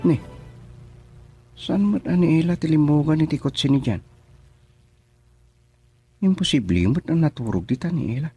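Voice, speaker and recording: A man's voice: a little high, expressive, normal speed, long pauses, mellow, husky, soft background music, heavily acted. A man with an Ilocano accent playing a chatty, teasing man in a radio drama. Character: casual teasing man named Cardo.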